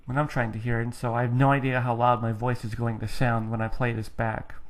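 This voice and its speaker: Young male let's-player, mid-pitched, chatty and self-deprecating, headset mic. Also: level tone, a little fast. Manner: rambling, self-deprecating, explains as he plays. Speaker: let's play gamer. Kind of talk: casual gameplay commentary.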